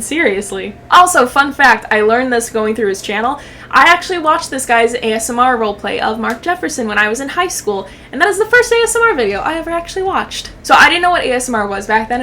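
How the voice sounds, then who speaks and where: High-voiced young woman, sweary and joking. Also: slight background noise. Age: young adult. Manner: sweary, joking, animated slang. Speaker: YouTube commentator. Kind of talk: casual reaction commentary.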